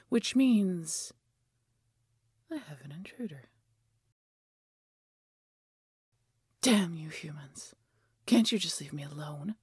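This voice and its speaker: A proud female dragon, played by a woman, speaks in a low, very breathy, husky voice. She is haughty and menacing, weighing each threat as she guards her hoard. It is a fantasy roleplay spoken straight to the listener, recorded close and dry. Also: a little mellow, no room sound, exaggerated voice. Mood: suspicious, disdainful. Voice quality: breathy, husky whispery growl. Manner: haughty, slow, deliberate threats.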